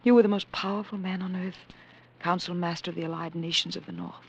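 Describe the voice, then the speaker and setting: An actress in a vintage radio drama, playing a devoted, anxious young woman in love, with a soft, breathy voice. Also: on the low side, steady tone, fast talker, highs cut off. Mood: tender. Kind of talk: 1940s radio acting.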